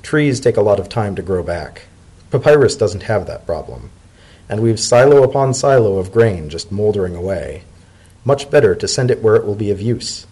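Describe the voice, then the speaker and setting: A man's voice: fairly low pitch, lively intonation, moderate pace, mellow, husky. A man reading the part of a male pony government secretary in a storybook tale, polite, earnest, formal and deferential. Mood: earnest, candid.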